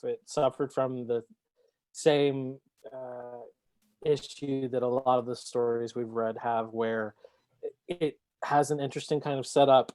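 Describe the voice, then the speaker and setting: A man on a panel chatting casually about a book, hesitant and halting as he gives his opinion. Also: mid-range voice, a little mellow, no echo. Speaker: podcast panelist.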